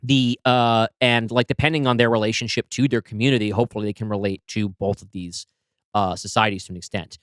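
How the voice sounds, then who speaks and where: Dry-sounding podcast man, mid-pitched. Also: fairly even intonation, brisk, fairly bright tone, clean tone, no echo. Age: adult, married. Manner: thoughtful, explains his creative choices with many restarts. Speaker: podcast co-host, writer of the story. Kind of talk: casual podcast discussion.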